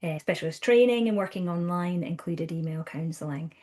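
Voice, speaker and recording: A Scottish woman in her thirties, a counsellor talking through her work in a chatty, animated way, often restarting her sentences. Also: lowish voice, fairly quick. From Scotland. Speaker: guest counsellor. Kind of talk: conversational podcast interview.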